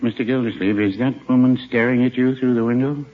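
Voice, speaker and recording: An actor playing a mild, henpecked middle-aged American druggist with a dry, hesitant, folksy manner in a 1950s radio comedy. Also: male voice, mid-range voice, fairly even intonation, quick pace, natural voice. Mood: meek, bemused. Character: mild, droll, henpecked small-town druggist, an older married man. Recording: dull, faintly noisy 1950s radio recording.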